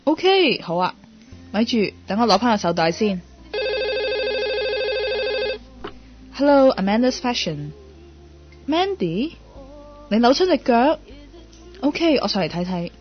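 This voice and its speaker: A woman's voice: a little high, big pitch swings, a little slow, long pauses. A woman acting a self-possessed career woman in a radio drama, speaking with a Hong Kong Cantonese accent as narrator and character. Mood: brisk, friendly. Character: self-possessed career woman, fashion shop keeper, quietly smitten. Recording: dull lo-fi audio, music underneath. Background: background music.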